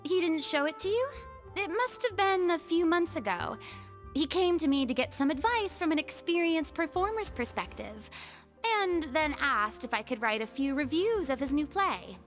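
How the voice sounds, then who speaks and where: A woman's voice: high-pitched, fairly bright tone, heavily acted. A woman playing a playful, self-important young celebrity performer in a video game, with a dramatic, theatrical manner. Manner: dramatic, flamboyant, with airy asides. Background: background music.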